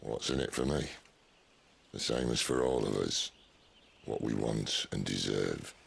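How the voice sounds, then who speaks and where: A man's voice: very deep voice, unhurried, vocal fry, slightly rough, heavily acted. An actor voicing a wry, world-weary male outlaw leader, talking in a dry, teasing way. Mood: earnest. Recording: game cutscene with ambient noise.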